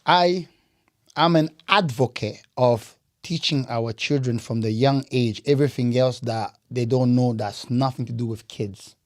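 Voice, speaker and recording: A man's voice: medium pitch, a little slow, no room sound, studio quality. A man hosting a podcast interview in casual chat, recapping his guest's story and cheering her on with "nice, nice". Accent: Scottish. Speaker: podcast host.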